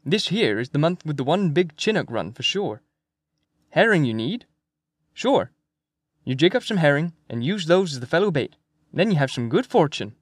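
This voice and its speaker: A man's voice: a little high, very animated, moderate pace, dry recording, studio quality, voice pushed up. A man voicing a sly, toothless, fussy old Swedish fisherman in an audiobook, sentences rising like questions. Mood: wary advice. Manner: questioning rise at sentence ends. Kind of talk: acted audiobook character dialogue.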